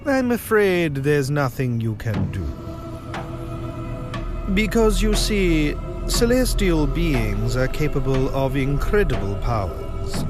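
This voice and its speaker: An actor playing a smug celestial being in an audio drama, with a measured, condescending manner. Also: male voice, mid-range voice. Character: smug celestial being who looks down on physical creatures. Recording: music under the voice.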